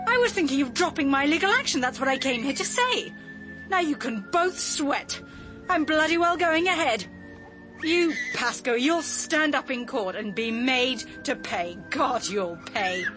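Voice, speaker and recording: Furious haughty Englishwoman, wildly varied pitch, threatening, radio drama, music loud behind. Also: big pitch swings, unhurried, low-quality recording. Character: furious, imperious, vindictive woman threatening her enemies.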